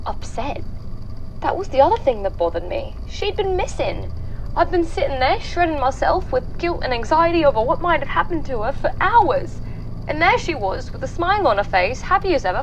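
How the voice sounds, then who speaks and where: A woman acting an anxious young woman giving a halting, confiding first-person statement in an audio drama. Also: sits high, slight background noise, poor audio. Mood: unsettled, troubled. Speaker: voice actor in a fan fiction audio drama.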